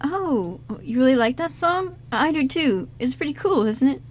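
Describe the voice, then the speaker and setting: A woman's voice: high voice, lively intonation, moderate pace. A woman voicing a nervous teenage boy with a crush in an amateur radio play, stammering and flustered. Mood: awkward, shy. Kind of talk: amateur radio script reading.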